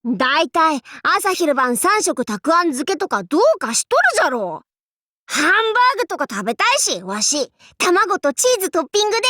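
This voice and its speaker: A high, very animated young warlord girl for an anime game, recorded close and dry. She speaks in grand archaic lordly phrases, imperious and boastful, yet slips readily into playful clowning. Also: no room sound, full character voice. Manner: archaic lordly speech, haughty, abruptly switching to goofy. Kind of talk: Japanese anime game character voice lines.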